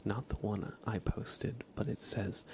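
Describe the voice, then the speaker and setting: A man's voice: on the low side, little pitch movement, unhurried, background noise. A man hosting an ASMR livestream in a whispered, casual and hesitant manner, chatting and commentating. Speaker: live streamer, host.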